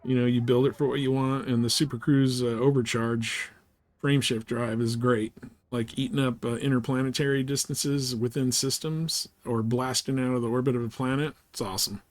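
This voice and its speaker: A man's voice: medium pitch, fairly even intonation, normal speed, no room sound. A man livestreaming a game, rambling casually and slangily as he plays and explains things. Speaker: game streamer. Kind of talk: casual live gameplay chat and commentary.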